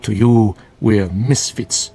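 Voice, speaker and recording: An actor playing a grave, fervent older monk in a radio drama, speaking in formal, measured, preachy phrases. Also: male voice, lowish voice, warm tone, a little hiss or hum. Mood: stern, knowing. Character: solemn bearded older monk, fervent guardian of truth. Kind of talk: classic radio drama acting.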